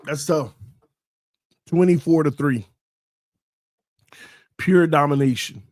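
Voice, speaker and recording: A man's voice: on the high side, slow pace, darkish tone, no echo, clean studio sound. Hyped sing-song sports host, man. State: losing his voice. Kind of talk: solo live sports podcast.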